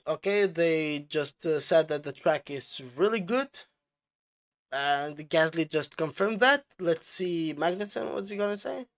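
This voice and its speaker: A man who is a non-native English speaker hosting casual commentary, explaining things in a halting, repetitive way. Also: on the high side, no room sound. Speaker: host reviewing team radio clips.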